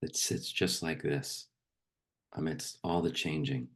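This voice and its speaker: Very deep, gravelly man guiding meditation. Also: steady tone, a bit bright. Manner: slow, unhurried delivery with long pauses. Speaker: meditation guide and poetry reader. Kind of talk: guided meditation with poetry reading.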